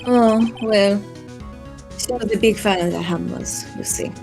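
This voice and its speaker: A woman playing a cold, menacing studio producer in a game dub, speaking with deliberate, cryptic condescension. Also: medium pitch, gravelly, music in the background. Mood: condescending. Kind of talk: fan live dub of a visual novel game.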